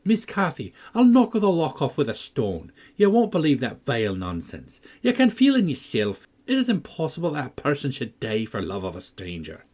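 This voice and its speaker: A man's voice: fairly low pitch, huge pitch swings, brisk, few pauses, slightly rough, full character voice. A man reading a novel aloud, voicing a sensible middle-aged housekeeper woman who speaks firmly and scolds. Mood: indignant. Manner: firm, scolding.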